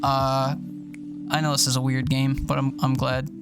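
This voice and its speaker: A man's voice: medium pitch, monotone, moderate pace, over loud music. A tired man with a sore throat chats casually on a livestream, rambling and swearing in a self-deprecating way. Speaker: streamer reading a visual novel aloud.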